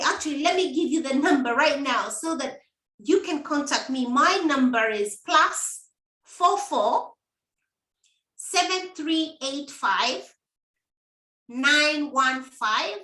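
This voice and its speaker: High-voiced, slow-talking coach woman. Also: lively intonation, sharp tone. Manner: encouraging, repetitive, direct address to listener. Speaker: menopause coach and host. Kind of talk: live motivational talk to viewers. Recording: echoey room.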